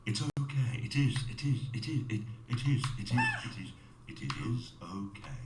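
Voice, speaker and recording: A man playing a solemn, socially awkward god in a video game, speaking in a stately, halting, pseudo-biblical way. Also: mid-range voice, heavy background noise, lightly acted. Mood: halting, reassuring. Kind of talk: scripted video game dialogue. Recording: roomy voice under loud music.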